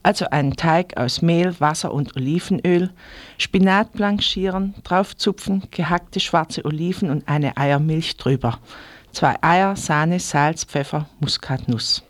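A woman's voice: deep voice, a little slow, dry recording. Dry-sounding woman reporting on radio. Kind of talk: chatty scripted radio market report.

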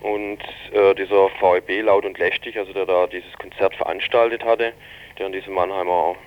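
A man giving a spontaneous account as a radio interviewee, halting and colloquial as he searches for words. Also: sits low, flat delivery, no room sound. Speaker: interviewee reporting on an incident. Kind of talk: spontaneous spoken eyewitness-style account. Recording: dull, lo-fi radio interview recording.

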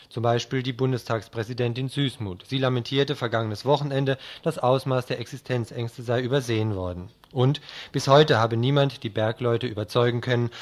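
Man, news presenter, scripted radio report, medium pitch.

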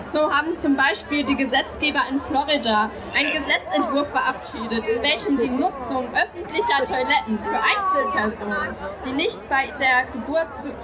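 Young female youth activist, high voice, scripted political address, very noisy outdoor crowd. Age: young adult. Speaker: youth group leader giving a rally speech. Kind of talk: prepared political speech to a crowd. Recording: loud crowd noise at outdoor rally.

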